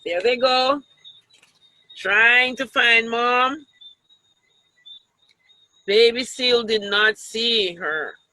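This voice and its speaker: A woman reads a picture book aloud to children in a deliberate way, pausing to point things out and ask questions. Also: a little high, bright, forceful tone, no room sound, poor audio. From Jamaica. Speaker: storytime reader, teacher.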